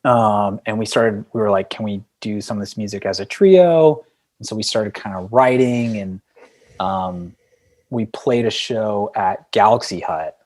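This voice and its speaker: A man's voice: on the low side, measured pace, a little mellow. A man speaking as a podcast guest and indie band frontman, telling stories casually in a rambling way full of "like" and "you know". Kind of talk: casual conversational storytelling.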